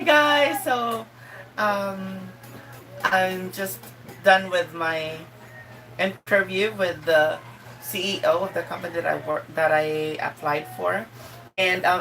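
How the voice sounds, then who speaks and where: A woman with a Filipino accent talking casually and politely, with halting, hesitant phrasing. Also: lowish voice, fairly bright tone. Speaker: vlogger and job applicant. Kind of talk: casual, polite phone conversation and vlog talk. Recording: distant mic, clearly noisy background.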